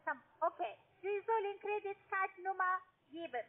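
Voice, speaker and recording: Very high-pitched woman. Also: lively intonation, moderate pace. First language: not German. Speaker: call centre agent. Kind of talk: instructing a customer by phone. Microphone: phone. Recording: thin, lo-fi phone line.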